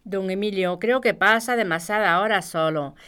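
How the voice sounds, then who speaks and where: A woman's voice: medium pitch, normal speed, fairly bright tone, smooth, lightly acted. An actress with a Spain accent playing a caring but exasperated working woman, speaking colloquially and expressively. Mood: concerned, placating. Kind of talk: audio fiction podcast acting.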